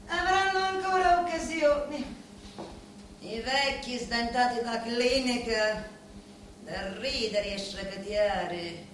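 An actress playing a bitter, scheming woman of a mafia family in a stage drama, with a Sicilian accent and a deliberate, theatrical delivery that swells suddenly. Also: on the high side, a bit bright. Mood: scornful. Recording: very echoey room, faint background noise.

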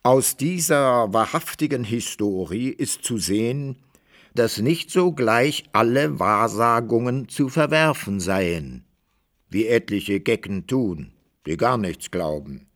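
A man narrating a classic literary radio drama in measured, archaic storytelling language. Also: mid-range voice, animated, fairly bright tone.

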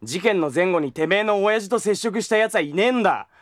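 A man voicing a brash, crude young detective who talks in coarse slang and flares up fast. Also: sits high, lively intonation, dry recording, clean studio sound. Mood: irritated. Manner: coarse slangy street talk, quick to shout. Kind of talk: anime drama CD acting.

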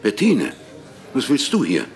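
An older actor in a literary radio drama playing a weary, aloof, distrustful old poet, with terse, measured lines in a gravelly voice. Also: male voice, wildly swooping pitch. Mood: wary, wounded. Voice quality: very deep, gravelly. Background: music.

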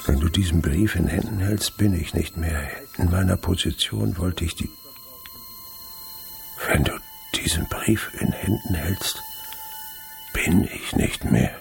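An actor playing an old, miserly winegrower, wily and falsely humble, in a German radio drama. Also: male voice, deep voice, unhurried, pauses often, heavily acted. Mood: grave, reading. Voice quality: gravelly.

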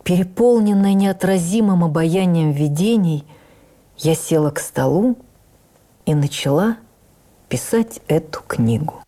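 Reflective actress, low mellow voice. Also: female voice. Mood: reflective, stirred. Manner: measured, expressive, with deliberate pauses. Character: older actress recalling her life, reflective and heartfelt. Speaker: actress reading a memoir for radio. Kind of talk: dramatic first-person memoir reading.

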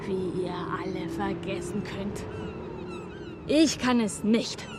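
Slow, high-voiced drunken rebel. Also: male voice. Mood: bitter, vengeful. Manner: declamatory, coarse, slow drunken delivery. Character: drunken, defiant male actor raging against tyranny. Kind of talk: German radio drama acting. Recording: noisy open-air ship deck ambience.

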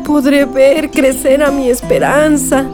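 A loving, emotional mother, played by a woman, in a radio drama. She speaks slowly and tenderly in a high voice, over clear music. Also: steady tone. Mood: tearful joy.

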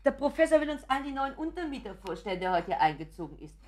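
A woman's voice: high-pitched, sing-song, rapid-fire, darkish tone. An actress playing an anxious, doting mother in a German radio drama, fussing and apologetic, often dropping to a whisper. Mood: meek, fussing. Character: anxious, doting, pleading mother of grown son.

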